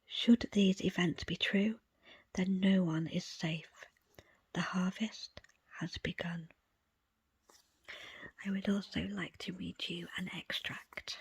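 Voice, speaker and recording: Breathy whispering woman reading aloud. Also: lowish voice, steady tone, takes it slowly, pauses often. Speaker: book reader presenting an audiobook extract. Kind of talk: ASMR whispered book reading.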